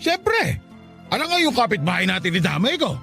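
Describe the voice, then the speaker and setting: A man acting a tipsy, self-pitying father in a radio drama, sulky and quick to argue. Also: sits high, huge pitch swings, vocal fry, exaggerated voice. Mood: argumentative. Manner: sulky, argumentative. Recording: music behind the voice. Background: music.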